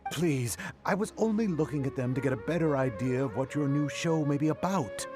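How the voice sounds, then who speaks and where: A proud young porter in a game, touchy and gruff, mid-pitched with a lively, expressive range, played by a man. Game music hums beneath. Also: a little fast, close to an everyday voice. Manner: defensive, gruff. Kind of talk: game voice acting.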